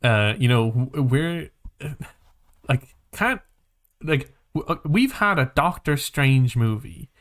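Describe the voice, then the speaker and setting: A man co-hosting a casual film and game podcast, talking in an animated, halting, rambling way with plenty of swearing. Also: medium pitch. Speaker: podcast co-host.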